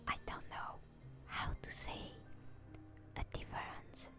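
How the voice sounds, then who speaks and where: A young woman from Hungary, whispering softly as she chats with viewers on an ASMR stream. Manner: whispered, soft and slow, chatting with viewers. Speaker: VTuber streamer. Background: music.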